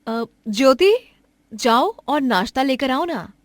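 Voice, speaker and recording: A woman voicing a kind, generous lady of the house in a cartoon moral story, chatty and gently chiding. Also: high voice, animated, slow, no room sound, pitched above the performer's own voice. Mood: friendly.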